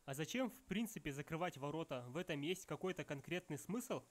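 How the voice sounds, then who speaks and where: A man's voice: fairly high pitch, expressive, brisk. A man reading scripted nitpicking commentary in a sarcastic, matter-of-fact way. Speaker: narrator of a film flaw-counting video.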